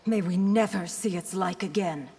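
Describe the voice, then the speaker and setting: An actress playing a dutiful princess and military commander in a fantasy video game, speaking formally and with poise. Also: female voice, lowish voice, moderate pace, mellow, husky. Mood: formal, serious. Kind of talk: video game dialogue acting. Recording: long hall reverb, noisy game backdrop.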